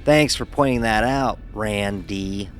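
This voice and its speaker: A man's voice: on the low side, slow, faint music behind. A man playing a sarcastic young fraternity pledge who narrates his own story in an audio horror drama, wry and sardonic. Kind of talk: scripted first-person horror narration with dialogue.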